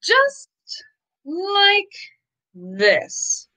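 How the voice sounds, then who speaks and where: A young actress playing a scheming, sugary gold-digger bride in a theatrical, cooing old-time radio mystery style. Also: female voice, very high-pitched, wildly swooping pitch, extremely slow, sharp tone, slight background noise, heavily acted, poor audio. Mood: sugary menace. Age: young adult. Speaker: actor. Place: indoors, dark backdrop. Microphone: video call.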